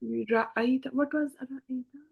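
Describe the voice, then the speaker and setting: Woman student reading out and translating bit by bit, hesitant, repeats words, voice a bit high. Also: fairly even intonation, creaky, breathy edge, narrow, tinny audio. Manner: halting, tentative, repeats words.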